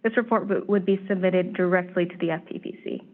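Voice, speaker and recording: A woman staff presenter giving a formal, measured briefing on proposed legislation, her voice slightly hoarse. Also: fairly low pitch. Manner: steady, measured, reads prepared remarks. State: slightly hoarse, clearing throat. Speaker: staff presenter at a commission meeting. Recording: tinny phone-line audio.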